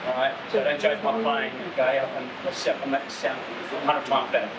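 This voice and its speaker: A man's voice: mid-range voice, flat delivery, fairly quick, dull audio. A man teaching didgeridoo to a live audience, playfully drilling sounds over and over. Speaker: didgeridoo instructor. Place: tourist show venue. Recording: roomy, distant from mic, noisy.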